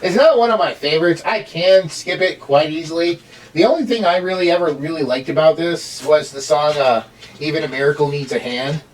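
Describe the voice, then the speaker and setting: A middle-aged man giving a casual, rambling review in a halting way, as a host. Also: a little high. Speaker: amateur reviewer hosting with a child. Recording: distant mic, home recording.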